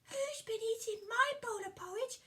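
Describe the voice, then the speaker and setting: Woman putting on a tiny, high-pitched, plaintive Baby Bear. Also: moderate pace, sharp tone. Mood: upset. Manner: childlike, exclaiming. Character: small, plaintive, friendly little Baby Bear. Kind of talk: children's puppet-show storytelling.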